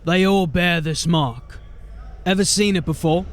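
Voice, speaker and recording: Male actor as a blunt, jaded city-watch sergeant, plainspoken, slightly high voice, audio drama. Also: unhurried. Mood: steady, grim. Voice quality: plain-spoken, grim and steady.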